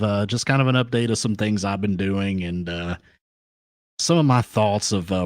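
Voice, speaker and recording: A man hosting a solo podcast, talking off the cuff with hyped banter and shout-outs to his chat, into a compressed studio microphone. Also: fairly low pitch, no echo. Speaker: podcast host.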